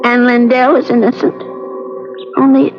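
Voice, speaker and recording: A woman's voice: on the high side, little pitch movement, measured pace, lightly acted. An actress playing an anxious, kindly old landlady in a 1970s radio drama, speaking hesitantly and fretfully. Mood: musing, fretful. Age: older. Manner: hesitant, flustered, talks to herself. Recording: dull lo-fi old radio recording, music.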